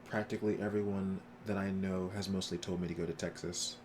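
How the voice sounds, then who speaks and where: A man's voice: low voice, little pitch movement, a little fast. An American man chatting casually as a game streamer on a live stream. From United States. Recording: noisy stream, game sounds behind.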